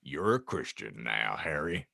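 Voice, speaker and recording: A man's voice: extremely low pitch, very animated, a little slow, creaky, pushed and bright, no room sound, clean studio sound, voice pushed down, heavily acted. A man voicing a proud, devout giant of a man from a storybook in a hearty manner.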